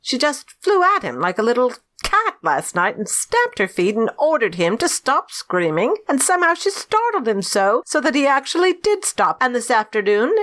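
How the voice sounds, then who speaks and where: Woman as an animated, gossipy housekeeper. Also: fairly high pitch, normal speed, few pauses. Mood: amazed, gossipy. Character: gossipy, astonished housekeeper, deferential but disapproving. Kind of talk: audio drama acting.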